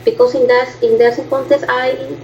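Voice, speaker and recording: A woman student speaking in a class presentation, hesitant and halting, with many fillers and restarts in learner's English. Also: high voice, level tone, a little mellow, a little hiss or hum. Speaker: language student. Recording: dull lo-fi class recording.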